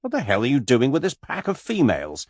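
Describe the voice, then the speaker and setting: A blunt, hearty adventurer, as a male reader puts him on, rough and direct in his questions. His voice swings up and down with plenty of life. The sound is close and dry. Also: mid-range voice, huge pitch swings, a little fast, no echo, pitched above the performer's own voice.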